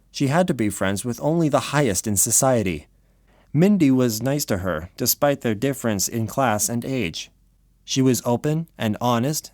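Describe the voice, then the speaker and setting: A man's voice: mid-range voice, a little mellow, no echo, clean studio sound. A man reading scripted story narration for an audio drama.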